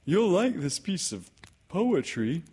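A sweet, slow-witted little toy bear from a children's storybook. He is hesitant and earnest, plodding along at a very unhurried pace. The pitch wanders widely as he speaks. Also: sing-song, darkish tone, slightly rough, exaggerated voice. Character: slow, simple-minded, good-natured little toy bear.

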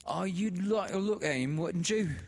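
A man's voice: sits high, normal speed, creaky, pitched above the performer's own voice. A man voicing a sly, oily working-class woman, the dead man's sister, fawning over a visitor in a read-aloud story.

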